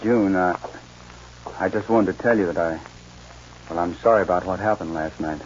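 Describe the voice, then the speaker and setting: Actor playing a proud young rancher's son, old radio. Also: male voice, low-pitched, normal speed, a little hiss or hum, close to an everyday voice. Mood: earnest, pleading. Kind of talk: 1940s radio western acting. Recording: dull old radio recording with music.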